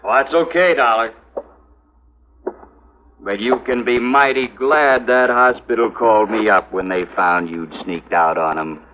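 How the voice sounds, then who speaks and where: Actor as gruff police sergeant, muffled radio. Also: male voice, mid-range voice, a little slow, natural voice. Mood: stern, relieved. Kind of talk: 1950s radio drama acting. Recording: muffled, faintly noisy old radio recording.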